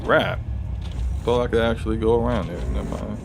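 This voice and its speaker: A man with a deep voice chatting casually while he streams a video game, rambling and restarting his sentences. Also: fairly quick, creaky, noisy background. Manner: rambling, relaxed, frequent restarts. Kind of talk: casual gaming livestream commentary.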